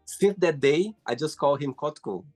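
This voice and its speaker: A man's voice: on the high side, a little mellow. Brazilian man hosting an interview. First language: Portuguese. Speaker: host. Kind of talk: casual video call interview.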